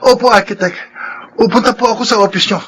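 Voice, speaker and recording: A man's voice: high-pitched, fast, a bit bright, a little hiss or hum. A man acting in a Filipino radio drama as an earnest, polite young working man in love. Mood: respectful, grateful. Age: young adult. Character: earnest, respectful young construction worker, torn lover.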